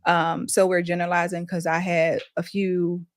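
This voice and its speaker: A woman guest in a casual group discussion who speaks in a calm, reflective, measured way. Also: on the low side, no echo, clean studio sound. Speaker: panel guest.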